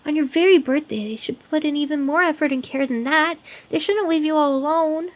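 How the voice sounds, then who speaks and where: Woman as a high-voiced, lonely, clingy demon girl. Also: fairly quick, natural voice. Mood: indignant, protective. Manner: gentle, pleading, earnest, with hesitant stammers and hushed dips. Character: lonely, sweetly clingy, obsessively devoted sealed demon woman. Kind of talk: ASMR roleplay addressed to the listener.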